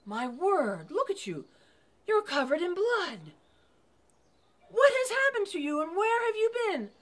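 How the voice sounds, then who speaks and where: A woman voicing an excited, hearty male innkeeper in a folk tale. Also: very high-pitched, unhurried, voice pushed up, exaggerated voice. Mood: astonished.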